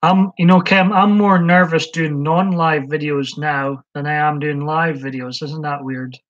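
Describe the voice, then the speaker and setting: A man's voice: fairly high pitch, fairly even intonation, a little slow, fairly bright tone. A man hosting a casual live stream, chatting hesitantly with lots of ums as he answers viewers' comments. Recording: dull lo-fi stream audio.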